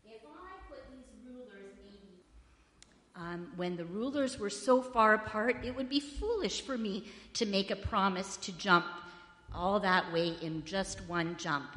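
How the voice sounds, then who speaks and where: A woman leading a children's story at church, asking the children friendly questions in a loud voice. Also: medium pitch, animated, a little slow. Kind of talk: children's sermon. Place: church sanctuary. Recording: echoey hall with long reverb.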